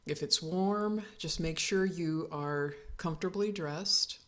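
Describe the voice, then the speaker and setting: Slow, low-pitched woman guiding relaxation. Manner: calm, soothing, unhurried instructions with pauses. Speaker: yoga nidra instructor. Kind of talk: guided relaxation meditation.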